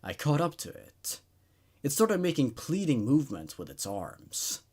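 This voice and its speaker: A man's voice: medium pitch, wildly swooping pitch, unhurried, darkish tone, husky. A man hosting a horror story show, reading stories aloud and giving his thoughts on them. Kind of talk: dramatic story narration and laid-back commentary.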